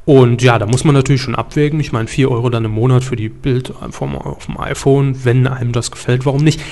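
A man with a mid-pitched voice hosts a media talk. He presents in a conversational, explanatory style. He goes through each point methodically and poses rhetorical questions as he goes. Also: steady tone, a little fast, vocal fry, darkish tone. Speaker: host of a media news talk show.

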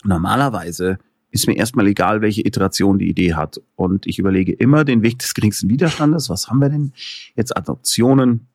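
A man's voice: lowish voice, brisk, breathy edge, no room sound. Joking middle-aged man in dry sound. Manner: lively, joking, rambling with many fillers. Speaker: author and filmmaker, interview guest. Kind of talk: casual interview conversation.

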